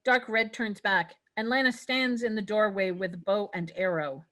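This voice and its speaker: A woman's voice: medium pitch, moderate pace, sharp tone. A woman reading stage directions as narrator in a scripted fantasy table read.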